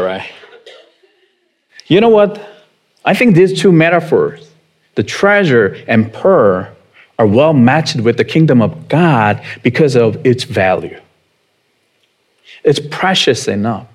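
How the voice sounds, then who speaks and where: A man in his thirties with a Korean accent, preaching a sermon in a chatty way and putting questions to the congregation. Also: mid-range voice. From South Korea. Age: 30s. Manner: unhurried and conversational, chuckling often, asking the congregation questions. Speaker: pastor.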